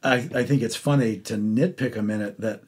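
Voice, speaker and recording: A man hosting a casual arts interview, speaking haltingly and often restarting his sentences. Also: on the low side, breathy edge. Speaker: interviewer and podcast host.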